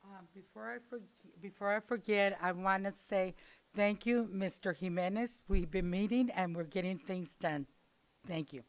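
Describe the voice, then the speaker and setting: Loud, direct woman at a public comment. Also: mid-range voice, normal speed.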